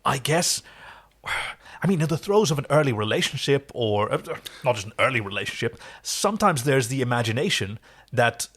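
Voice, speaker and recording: A man's voice: mid-range voice, sing-song, fairly quick, fairly bright tone. A man co-hosting a podcast, casually and thoughtfully discussing a TV episode. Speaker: podcast co-host.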